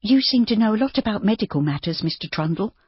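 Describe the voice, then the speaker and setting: A reader voicing Judy, a polite, anxious young woman traveller, in a raised pitch. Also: lively intonation, fast, husky, no room sound, highs cut off.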